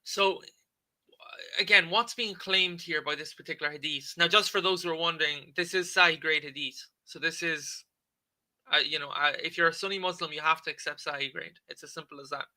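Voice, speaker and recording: A man talks through a text for his livestream audience in a loose lecture, tagging "okay?" onto his points and filling pauses with "um". His voice sits a little high, sounds very bright and forward, and stays conversational. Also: fairly even intonation. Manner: conversational, frequent "okay?" checks and filler "um". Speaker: livestream debate host. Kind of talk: casual lecture reading and commenting on a text.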